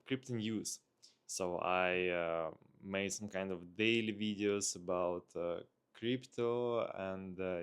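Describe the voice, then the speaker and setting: A man with a Russian accent speaks very slowly in a fairly low voice. He talks casually as a vlogger, unscripted, often pausing to search for the next phrase as he thinks aloud. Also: clear, resonant tone. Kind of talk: casual unscripted vlog talk.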